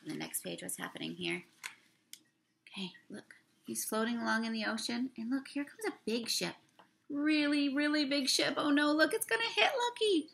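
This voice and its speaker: Bright, creaky young woman reading to children. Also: sits high, slight background noise. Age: young adult. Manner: expressive, drawn-out words, asks the listeners questions. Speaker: teacher reading a picture book aloud. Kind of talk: read-aloud storytelling for children, talking through pictures. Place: small room indoors.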